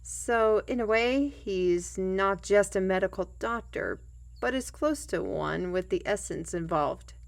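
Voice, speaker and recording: Woman acting an unhurried, folksy fantasy trail guide. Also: mid-range voice, vocal fry. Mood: fond, wry. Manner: folksy, hesitant, old-fashioned phrasing, addresses lad and lassie. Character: plain-spoken, humble fantasy trail guide and storyteller. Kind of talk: audio roleplay acting. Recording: music bed behind the voice.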